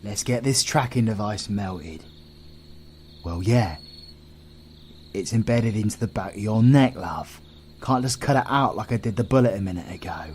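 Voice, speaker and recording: A man's voice: lowish voice, normal speed, pauses often, a little mellow, slight background noise, natural voice. A British man playing a cocky, sardonic supervillain antihero in an audio roleplay, with blunt, sweary, colloquial banter. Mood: teasing, gruff.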